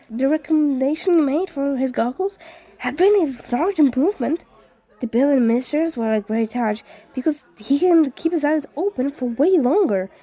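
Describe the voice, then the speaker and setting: Woman voicing an excitable, gushing teenage ghost boy. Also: high-pitched, pitched above the performer's own voice, rough recording. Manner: gushing, eager, stumbles over words.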